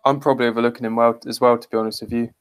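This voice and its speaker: Flat-toned, blunt man chatting football. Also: mid-range voice, very fast, clear, resonant tone, no room sound, studio quality. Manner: blunt, sweary. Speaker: fantasy football podcast co-host. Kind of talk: casual football chat.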